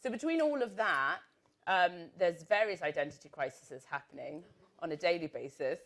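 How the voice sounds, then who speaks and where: Woman, low voice, grew up in northern England, live panel guest, chatty, self-deprecating. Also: lively intonation, normal speed, a bit bright. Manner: chatty and self-deprecating, laughs through asides. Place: stage at a live podcast event.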